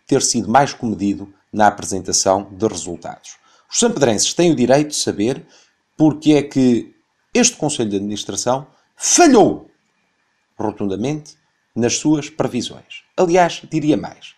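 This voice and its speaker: A man in his thirties with a European Portuguese accent, reading a critical radio commentary and reciting long figures in a measured, careful way. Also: mid-range voice, a little mellow. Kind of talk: scripted opinion commentary. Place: radio studio.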